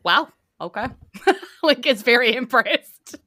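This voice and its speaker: A woman's voice: on the high side, sing-song, slow, pushed and bright, no room sound. A woman with a New Zealand accent, improvising casually as the game master of a tabletop role-playing game.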